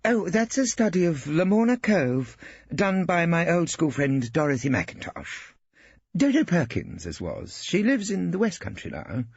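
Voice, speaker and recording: Tart, proper middle-aged wife, voiced by a male reader; pitched well up, dull lo-fi sound. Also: very animated, normal speed, slightly rough, no echo, exaggerated voice. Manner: prim, tart.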